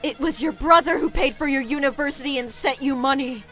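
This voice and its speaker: A woman playing a gentle, sickly, worried mother of adult sons in a dramatized story. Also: sits high, a little fast, soft background music. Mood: concerned.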